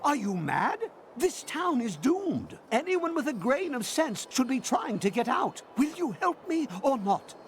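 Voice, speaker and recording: A woman's voice: sits high, very animated, a little slow, few pauses, slight background noise. A woman playing a pompous, indignant, panicky town mayor in a fantasy game. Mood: indignant, alarmed. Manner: pompous, outraged. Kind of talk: fantasy game character acting.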